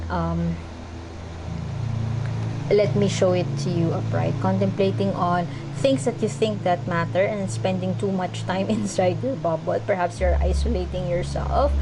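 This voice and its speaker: Soft-pitched woman reading tarot, halting. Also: noisy background. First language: not English. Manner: halting, searching for words, frequent ums. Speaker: tarot reader. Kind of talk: casual tarot reading to camera.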